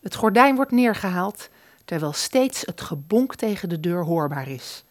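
A woman's voice: lowish voice, very animated, a little slow, dry recording. A woman giving scripted narration for a radio play, reading out the stage action as narrator.

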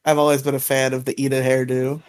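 A man's voice: medium pitch, completely flat delivery, quick pace, clear, resonant tone. A man co-hosting a casual podcast, giggly and stammering with repeated words.

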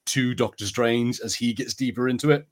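A man's voice: mid-range voice, normal speed. Enthusiastic man chatting as podcast co-host. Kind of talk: casual review chat.

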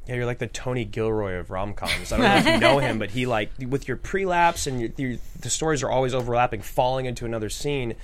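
A man's voice: mid-range voice, sing-song, fast talker, hardly any pauses. A man chatting as a panelist, enthusiastic and full of praise while asking questions. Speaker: panelist on a script discussion show. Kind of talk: casual panel discussion.

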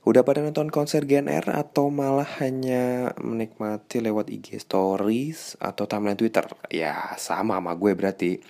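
Stuffy-nosed young man, deep and chatty. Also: fairly even intonation, normal speed, gravelly, dark tone. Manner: chatty, slangy, confiding. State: stuffy nose. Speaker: radio announcer and podcast host. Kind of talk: casual monologue podcast.

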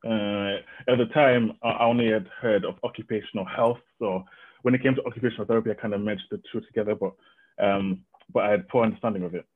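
A man's voice: on the low side, fairly even intonation, fast. A man from Zimbabwe, a guest on a video-call podcast, answering with many hesitations and restarts. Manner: hesitant, full of ums and restarts. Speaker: guest occupational therapist. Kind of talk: casual interview answer. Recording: tinny phone-like call audio.

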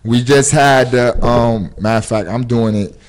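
A man's voice: medium pitch, normal speed. New guy hosting a podcast, chill and rambly, lots of slang, regular mid voice. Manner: laid-back, rambling, with fillers and street slang. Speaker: podcast host. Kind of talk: casual podcast chat.

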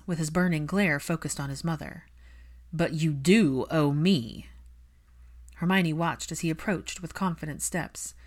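A woman reading scripted fiction as an audiobook narrator. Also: on the low side.

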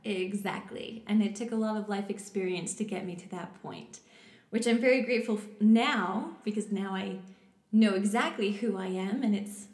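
A woman's voice: mid-range voice, normal speed, a little mellow. A woman in her thirties, from Canada, speaks as a podcast guest. She is candid and laughs often. The recording sounds echoey. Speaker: interview guest. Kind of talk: casual interview. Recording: echoey room.

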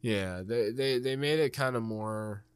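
A man's voice: mid-range voice, measured pace, clean tone, dry recording. A young adult man with an American accent in casual video game chat, rambling and enthusiastic with plenty of swearing.

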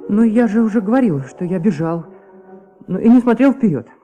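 An actor playing a nervous young choirboy giving testimony in a Soviet radio play, hesitant and stammering, often repeating himself. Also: male voice, high voice, lively intonation, brisk, warm tone, faint music behind. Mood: anxious, earnest.

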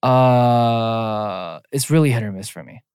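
A man's voice: mid-range voice, takes it slowly, a little mellow, smooth, no room sound. Laid-back young man in a dry room. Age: 20s. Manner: candid, laid-back, says "like" a lot. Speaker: podcast co-host. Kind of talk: casual chat.

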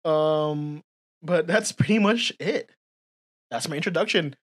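A woman's voice: low voice, measured pace. A woman in her twenties with a slight Filipino accent, chatting informally as a podcast co-host and explaining her points emphatically. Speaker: podcast co-host and content creator. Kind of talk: casual podcast chat.

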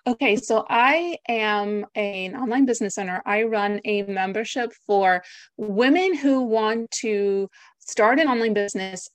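A woman's voice: mid-range voice, dry recording. Chatty American woman on a dry call. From United States. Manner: chatty, upbeat, with frequent "like" and "right?". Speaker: guest. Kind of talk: podcast interview.

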